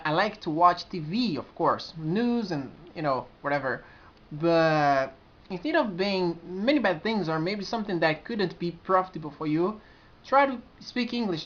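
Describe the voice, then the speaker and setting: A man in his twenties with a Brazilian accent talks straight to the camera in an earnest, halting way, often restarting his sentences. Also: high-pitched, a bit bright. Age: 20s. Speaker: community administrator. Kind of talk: casual vlog to camera. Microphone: built-in camera mic. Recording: dull lo-fi camera audio.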